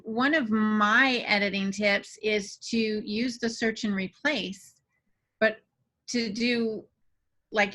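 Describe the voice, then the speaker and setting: A woman's voice: mid-range voice, bright, forceful tone. A woman chatting casually as a podcast co-host about the craft of writing. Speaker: podcast co-host and fiction writer.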